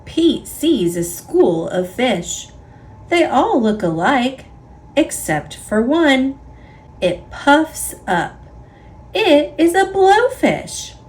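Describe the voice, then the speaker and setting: A woman reading a children's picture book aloud in an expressive, deliberate storytelling way for young listeners. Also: high voice. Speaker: storybook reader. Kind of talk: children's read-aloud narration.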